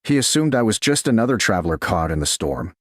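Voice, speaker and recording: Man voicing a calm, gentle servant woman. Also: fairly low pitch, no room sound, clean studio sound. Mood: quiet, steady. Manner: soft, composed, measured. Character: calm, gentle woman, duke's lost love turned servant.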